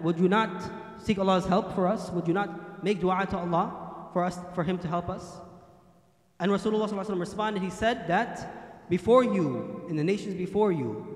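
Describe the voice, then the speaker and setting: Young imam giving a friday sermon, quick talker, voice rings around a big hall. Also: male voice, high voice. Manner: quotes Arabic hadith then translates into English. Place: mosque hall, indoors. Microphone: standing mic on a stand. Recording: echoey hall.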